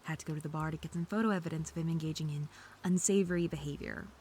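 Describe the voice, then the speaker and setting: A woman's voice: deep voice, fairly even intonation, very fast, dark tone, slight background noise, natural voice. A woman playing a snarky, defensive female hacker under interrogation in an audio drama, sarcastic and indignant. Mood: dry, sullen.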